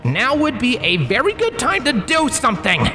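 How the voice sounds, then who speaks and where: A man's voice: very high-pitched, huge pitch swings, normal speed, sharp tone, soft background music, voice pushed up, full character voice. A man voicing a young male unicorn, nervous but defiant, with an anxious, earnest manner in a fantasy story reading. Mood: panicked urgency.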